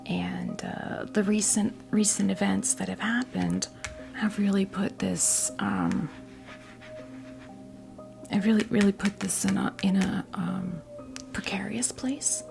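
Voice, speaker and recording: Whispered female voice, American, fifties, heavy vocal fry, halting and gentle, ASMR tarot role play, faint music behind. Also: mid-range voice, steady tone. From New Jersey, US. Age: 50s. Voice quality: whispered, breathy soft voice. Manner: slow, halting, gently reassuring. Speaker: ASMR performer playing a tarot reader.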